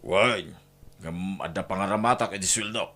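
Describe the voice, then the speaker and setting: A man playing a callous, short-tempered, domineering husband and father with an Ilocano accent in a radio-style family drama, gruff and scornful. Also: sits low, normal speed. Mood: dismissive.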